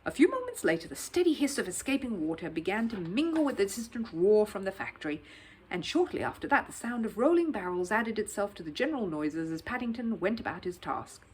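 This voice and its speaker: A woman narrating a children's story in an expressive, scripted read-aloud style. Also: mid-range voice, a little fast, a little hiss or hum, rough recording.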